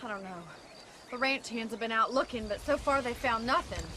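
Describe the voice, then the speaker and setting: A woman's voice: a little high, brisk, pushed and bright. A woman playing a proud, spirited young ranch daughter with an American accent, earnest and proper, in a western video game. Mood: worried. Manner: earnest, proper, strong-willed. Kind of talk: video game cutscene acting. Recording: outdoor ambience, clear background noise.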